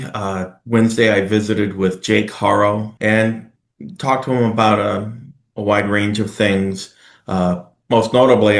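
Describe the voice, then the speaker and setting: A man reporting on a case in a news segment, measured and dotted with "uh" fillers. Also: lowish voice, echoey room.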